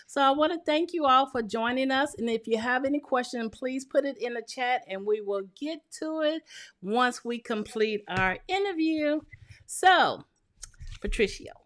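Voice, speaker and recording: A woman's voice: sits high, lively intonation, normal speed, fairly bright tone. A woman hosting a podcast interview, friendly, with read-through introductions and questions. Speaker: podcast host.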